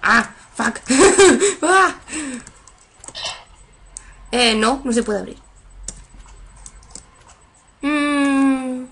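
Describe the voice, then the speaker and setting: A young woman with a Spain Spanish accent, giving casual, excitable commentary on a game at her computer. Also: high voice, extremely slow, pauses often. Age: young adult. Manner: excitable, laughs often, sudden shrieks. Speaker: let's player. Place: room at a computer.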